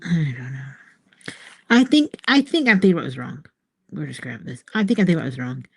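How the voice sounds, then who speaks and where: A woman's voice: low voice, sing-song, brisk, gravelly, husky. A woman casually thinking aloud as she works on artwork, musing and hesitant, often trailing off between phrases. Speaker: artist and author, host.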